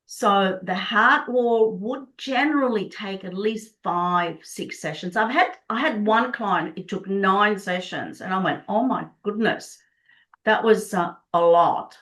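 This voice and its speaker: Middle-aged female practitioner, Czech native speaker, medium pitch, enthusiastic and explanatory in conversation. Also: a little slow, hardly any pauses, a bit bright, poor audio. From Prague, Czech Republic. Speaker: Body Code practitioner, interview guest.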